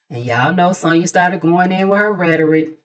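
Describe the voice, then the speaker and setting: A woman's voice: on the low side, a little slow, lo-fi sound. An American woman hosting a gossip recap, chatting casually in a sassy, conversational way with mocking asides. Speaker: host of a gossip commentary channel.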